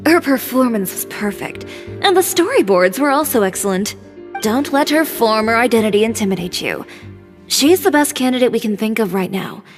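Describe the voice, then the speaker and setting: Lady voicing a frank composed young game heroine, high voice, lots of swing, faint music under it. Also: animated, moderate pace, in a large hall, natural voice. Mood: assured. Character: confident young woman, boutique owner, frank and composed. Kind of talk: English video game voice acting.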